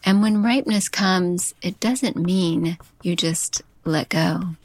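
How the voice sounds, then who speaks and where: A woman, a chef hosting a podcast, reading a poetic love letter and scripted narration in a slow, intimate way. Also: lowish voice, no echo.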